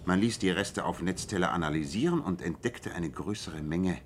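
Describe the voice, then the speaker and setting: A low-pitched, self-assured detective played by a man in a radio play. He lays out his reasoning in a measured, precise way, over a bed of light background noise. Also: lightly acted. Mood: analytical, assured. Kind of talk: German radio play dialogue.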